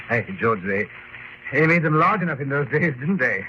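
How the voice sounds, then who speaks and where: An actor playing a confident, scornful aristocrat with breezy diction in an old radio drama. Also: male voice, fairly high pitch, lively intonation, normal speed, close to an everyday voice. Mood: jovial. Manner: hearty, breezy, old-fashioned upper-class diction. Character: confident English aristocrat, jovially scornful of ghost legends. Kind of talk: 1950s radio drama acting. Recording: noisy lo-fi old radio recording.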